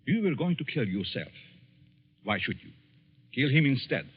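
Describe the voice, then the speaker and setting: An actor in a 1940s radio drama playing a coldly persuasive, sinister professor, formal and insinuating, who speaks deliberately. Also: male voice, medium pitch, very animated, slightly rough. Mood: probing, persuasive. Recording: tinny, reverberant old radio recording.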